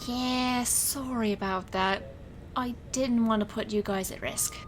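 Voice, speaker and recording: A woman playing a weary but resolute illusionist in an audio drama, speaking in a deliberate, wry and dramatic way. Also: on the high side, slight background noise, close to an everyday voice. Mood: relieved, apologetic. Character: weary, resolute female illusionist and supernatural enforcer. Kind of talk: dramatic audio fiction acting.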